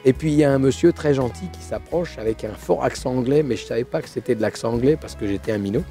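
A man in his sixties with a hoarse voice from laryngitis, hosting a car show and telling a story casually to camera, with many fillers and restarts. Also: mid-range voice, fast talker, dark tone, soft background music. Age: 60s.

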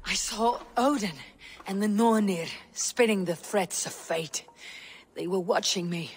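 A woman voicing a proud, defiant young female Viking warrior in a video game, speaking gravely in formal, deliberate phrases. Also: medium pitch, slight background noise, natural voice. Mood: troubled, recalling. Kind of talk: dramatic video game cutscene acting.

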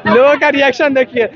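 A man's voice: very high voice, heavy background noise. A young man hosting a chatty, teasing vlog from an outdoor fairground, talking into a handheld mic and laughing often. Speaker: vlogger host. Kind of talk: lively on-the-spot fair commentary. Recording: dull lo-fi outdoor audio with loud crowd.

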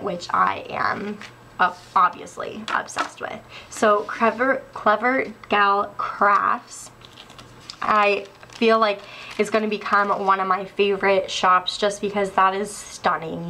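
A young woman showing sticker kits in a casual haul video, gushing and excitable, a little stuffy. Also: medium pitch, slight background noise, rough recording. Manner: gushing, excitable, laughs often. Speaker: planner sticker vlogger. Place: indoors at a wooden table.

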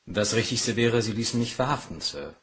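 An actor playing a meticulous, stoic bank cashier, formal and deferential, in a crime radio play. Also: male voice, on the low side, expressive, moderate pace, a bit bright, husky, heavily acted. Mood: formal, subdued. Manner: formal, stiffly polite.

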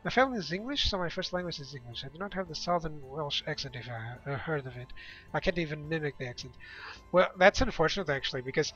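A man's voice: fairly high pitch, expressive, quick pace, a bit bright. A young man casually chatting with viewers while playing a strategy game, rambling and laughing easily. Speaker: game streamer. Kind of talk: casual let's-play chat with viewers. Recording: slightly dull lo-fi sound with game music.

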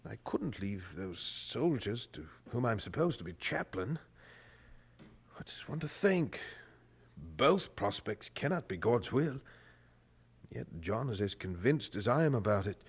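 An actor playing a devout, thoughtful young preacher in a radio drama, speaking formally and earnestly. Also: male voice, fairly low pitch, sing-song, a little slow, gravelly, long pauses, husky, exaggerated voice. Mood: pondering.

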